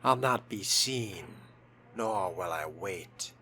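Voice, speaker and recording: Man playing a slow, low aloof vampire. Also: animated, loud music behind the voice, heavily acted. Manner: measured, terse, dry. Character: aloof, curt fledgling male vampire with dry disdain. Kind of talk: video game role-play voice-over.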